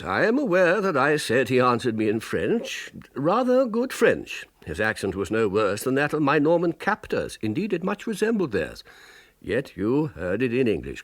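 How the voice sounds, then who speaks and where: An actor playing an ailing, imperious and dry-witted old medieval pope in a radio drama, halting and stately. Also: male voice, medium pitch. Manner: halting, stately, wryly fastidious. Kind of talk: radio drama acting and first-person narration.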